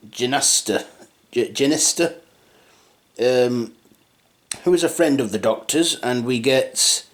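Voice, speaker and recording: A man giving an unscripted fan review in a rambling, hesitant way, often trailing off and starting again. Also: medium pitch. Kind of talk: unscripted audio drama review.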